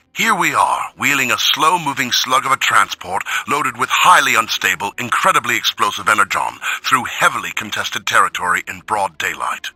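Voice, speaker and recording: Animated, husky, uneasy robot soldier. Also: male voice, lowish voice, dry recording, heavily acted, rough recording. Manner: emphatic, worried, wry. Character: uneasy, articulate male robot soldier, dryly sarcastic. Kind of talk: dramatic video game audio log.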